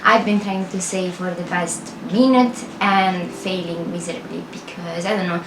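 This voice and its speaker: A woman's voice: lowish voice, steady tone, normal speed, roomy sound, background noise. A young woman from Italy with an Italian accent, chatting through a rambling, self-correcting voiceover for her game build videos. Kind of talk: casual voiceover over gameplay.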